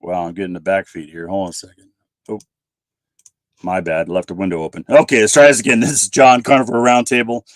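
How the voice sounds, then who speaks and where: A man's voice: low-pitched, fairly even intonation, fast talker, no echo. A man hosts a casual online roundtable in an informal, chatty way. His voice is a bit scratchy from a cold and is recorded dry, with no room sound. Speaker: host of a panel livestream. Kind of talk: casual chat, hosting.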